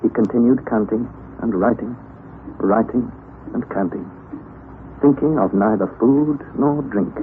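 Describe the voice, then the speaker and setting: An actor playing an earnest, skeptical young nephew in a vintage radio drama, expressive and formal in diction. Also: male voice, mid-range voice, measured pace, pauses often, lightly acted. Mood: grave, storytelling. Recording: tinny, noisy old radio recording.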